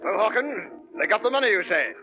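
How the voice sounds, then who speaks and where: Blustery army sergeant, really high creaky voice, old radio with music behind. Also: male voice, big pitch swings, brisk, muffled recording. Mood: blustering. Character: blustering, officious revenue sergeant. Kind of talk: 1930s radio drama acting. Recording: thin 1930s radio recording, music behind.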